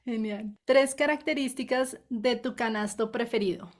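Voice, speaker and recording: A woman's voice: on the high side, normal speed. A woman interviewer who asks short questions and replies with brief, friendly prompts.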